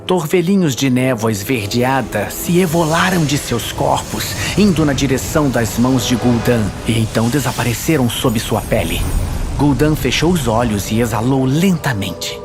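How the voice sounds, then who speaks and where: A man's voice: mid-range voice, expressive, normal speed. A man with a Brazilian accent reading scripted fantasy narration in a dramatic storytelling style. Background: faint music.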